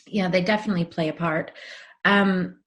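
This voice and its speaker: A woman's voice: fairly low pitch, fairly even intonation, moderate pace. A woman hosting a podcast interview, talking in an enthusiastic, reflective way. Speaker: podcast host. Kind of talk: conversational interview.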